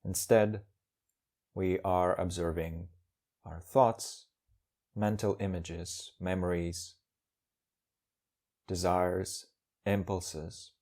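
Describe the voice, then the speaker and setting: A man leading a guided meditation as a teacher, speaking calmly in measured, short phrases with pauses. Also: low voice.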